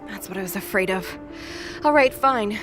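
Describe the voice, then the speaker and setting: A woman's voice: mid-range voice, animated, normal speed, music in the background, close to an everyday voice. A woman voicing a practical young warrior girl in an audio drama, matter-of-fact and wry. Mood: reluctant, firm. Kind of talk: audio drama character acting.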